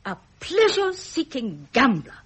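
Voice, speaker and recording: A woman's voice: high-pitched, huge pitch swings, measured pace, a bit bright, slightly rough, heavily acted. An actress playing an imperious, grieving elderly queen mother with an English accent, in a vintage British radio drama. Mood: stern, accusing. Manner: regal, stern, formal, dramatic.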